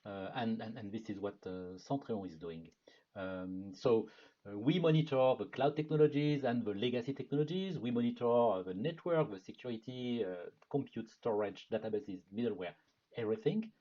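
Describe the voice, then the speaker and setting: A man with a French accent giving a product marketing presentation in a webinar, speaking in a measured way with frequent filler sounds. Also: on the low side, lively intonation, mellow. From France. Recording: dull lo-fi webinar audio.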